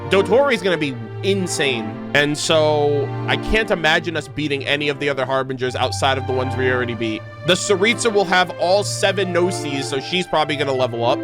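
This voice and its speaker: A young man giving casual, slangy, sweary gaming reaction commentary as a streamer on a close mic. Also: on the high side. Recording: game music playing behind the voice.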